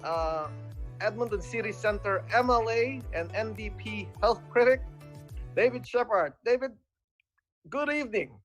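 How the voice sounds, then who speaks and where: A man hosting a radio news interview, speaking with halting, hesitant phrasing. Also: high voice, faint music behind.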